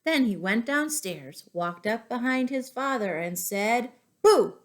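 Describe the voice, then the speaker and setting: A woman teacher reading poems and picture books aloud to young children, as an expressive, deliberate storyteller. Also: a little high.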